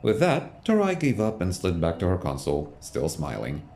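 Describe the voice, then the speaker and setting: A man's voice: deep voice, huge pitch swings, normal speed, darkish tone. A man giving scripted, storytelling narration for an audio drama. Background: music.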